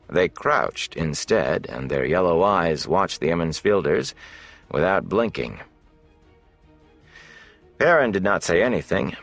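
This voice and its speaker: A man narrates a fantasy audiobook in a very low voice with a gravelly creak. His scripted reading moves with lively, varied intonation. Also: dry recording.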